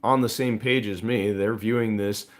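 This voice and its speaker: A man with a hoarse, raspy voice hosting a live stream, talking through market news casually to viewers. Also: fairly low pitch, steady tone, normal speed. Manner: conversational, with frequent fillers and asides to viewers. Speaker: host of a precious metals live stream.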